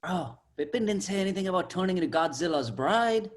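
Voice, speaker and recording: A man's voice: high voice, animated, fairly quick. A man voicing a cocky, brash young delivery sidekick with slangy banter in an amateur table read.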